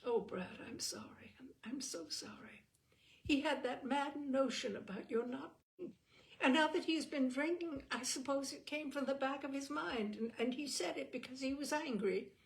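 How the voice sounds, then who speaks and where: A woman voicing a gentle, anxious young upper-class woman who apologizes for her brother. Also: sits high, moderate pace, vocal fry, a little hiss or hum, voice pushed up, poor audio. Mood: earnest.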